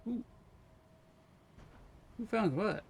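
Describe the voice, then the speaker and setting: A man's voice: high voice, animated, drawn-out words, vocal fry, breathy edge, faint music behind. A man streaming a puzzle game live, chatting casually and thinking aloud as he looks around. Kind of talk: casual live gameplay commentary.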